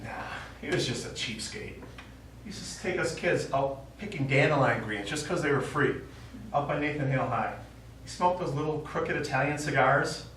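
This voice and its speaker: A man's voice: mid-range voice, moderate pace, fairly bright tone, lightly acted. An older man playing a stubborn, forgetful elderly widowed father in a live stage play, wistful and contrary as he rambles. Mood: nostalgic, contrary. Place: small indoor theatre stage, recorded from afar. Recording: very echoey theatre, distant mic, faint noise.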